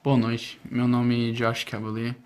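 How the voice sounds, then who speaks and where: American guy, careful halting talk, pretty flat, even delivery. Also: medium pitch, clear, resonant tone. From United States. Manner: careful, hesitant. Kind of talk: casual testimonial.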